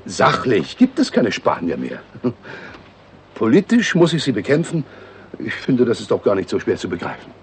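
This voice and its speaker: A loyal government agent in an old radio play. His low voice moves in lively swings, formal, deferential and a little pompous. The recording is faintly noisy. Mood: indignant, self-important. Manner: formal, self-important, halting when nervous. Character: earnest, deferential, loyal male state agent with pompous logic. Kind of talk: 1960s German radio drama acting.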